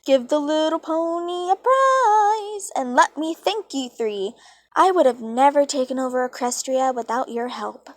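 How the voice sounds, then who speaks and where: A woman's voice: high voice, lively intonation, a little slow. A woman voicing a mocking male chimera trickster villain, taunting and mock-polite, in an amateur story reading. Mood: smug, mocking.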